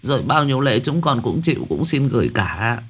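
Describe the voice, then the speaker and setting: A man's voice: mid-range voice, big pitch swings, a little fast, fairly bright tone, slightly rough, pitched above the performer's own voice, exaggerated voice. A man voicing a distraught older village woman pleading in a spoken ghost story.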